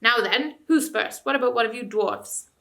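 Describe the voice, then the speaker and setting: A woman voicing a scheming male ape who jeers and mocks the crowd of animals loudly. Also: normal speed, creaky. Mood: taunting. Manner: loud, mocking and shrill.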